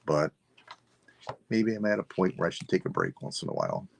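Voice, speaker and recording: A man of sixty-five, hosting a live sale and signing off in a relaxed, rambling way while losing his voice. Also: deep voice, brisk, gravelly, a little hiss or hum. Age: 60s. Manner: relaxed, rambling, self-deprecating. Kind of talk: casual live stream sign-off.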